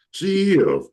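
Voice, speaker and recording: A man, hosting a casual chat, joking and telling stories. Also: fairly bright tone. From Atlanta, Georgia. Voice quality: very deep voice. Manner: joking, slips into comic impressions. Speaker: show host.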